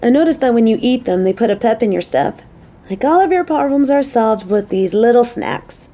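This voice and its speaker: Woman acting a playful, motherly coworker with mellow voice. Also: on the high side, animated, normal speed. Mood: chatty.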